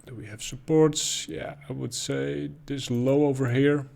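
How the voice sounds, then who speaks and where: A man's voice: medium pitch, fairly even intonation, measured pace, gravelly, darkish tone. A man with a Dutch accent giving casual, thinking-aloud commentary on price charts, with frequent ums and uhs. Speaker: crypto chart analyst.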